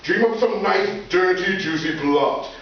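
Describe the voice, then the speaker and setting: Man playing a high-pitched blustering school principal. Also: a little slow. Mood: scheming, bossy. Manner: pompous, irascible, theatrical exclamations. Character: blustering, bossy, scheming male school principal feigning amnesia. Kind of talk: live radio comedy acting. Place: live stage performance. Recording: very echoey hall, distant, dull, hissy.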